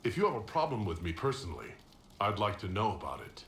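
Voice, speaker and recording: An actor playing a composed mage hero in a video game, direct and questioning in manner. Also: male voice, lowish voice, big pitch swings, quick pace, fairly bright tone. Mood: firm, questioning. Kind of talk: video game dialogue acting. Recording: roomy echo, noisy background.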